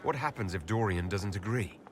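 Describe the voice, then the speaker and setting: An actor playing a composed, inquisitive leader in a video game, polite, direct and probing. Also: male voice, fairly low pitch, expressive, a little fast, slight background noise, close to an everyday voice. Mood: wary, curious. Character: composed, inquisitive young male leader of a fantasy order. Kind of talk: video game dialogue acting.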